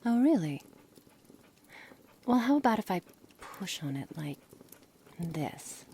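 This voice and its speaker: A woman playing a cold, curious, menacing witch in an ASMR roleplay, speaking formally and condescendingly, often dropping into breathy near-whispers. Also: on the low side, sing-song, slow, dark tone, heavily acted. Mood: clinical fascination. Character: cold, menacing, curious witch experimenting on her captive pet. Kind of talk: ASMR roleplay, scripted dramatic monologue. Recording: noisy background ambience.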